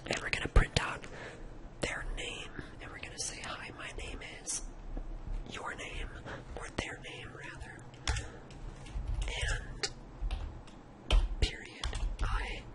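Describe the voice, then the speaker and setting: A person whispering an informal ASMR coding tutorial in a breathy, unvoiced voice, hesitating and thinking aloud. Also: poor audio. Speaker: tutorial host.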